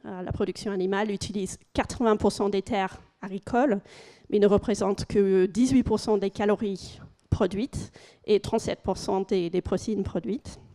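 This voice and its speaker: A woman with an English-speaking accent giving a conference presentation in French as a second language, pausing often with fillers and restarting phrases. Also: mid-range voice, steady tone, dark tone. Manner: hesitant, frequent fillers and restarts.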